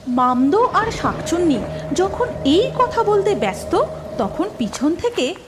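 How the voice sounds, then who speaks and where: A woman's voice: extremely high pitch, big pitch swings, slow, background noise. A woman narrating a scripted cartoon story.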